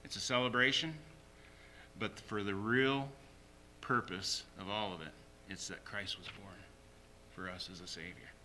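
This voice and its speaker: A man's voice: lowish voice, fairly even intonation, measured pace, pauses often, background noise. A man preaching a sermon as a pastor, emphatically repeating short phrases.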